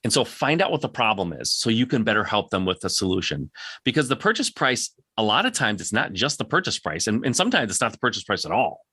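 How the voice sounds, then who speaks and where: Male podcast host, bright and driving tone, dry close sound, blunt coaching delivery that hammers key points. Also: on the low side, very animated, quick pace, slightly rough, dry recording. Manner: emphatic, insistent, repeats points; direct, plain-spoken. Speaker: podcast host answering audience questions. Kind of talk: live Q&A coaching.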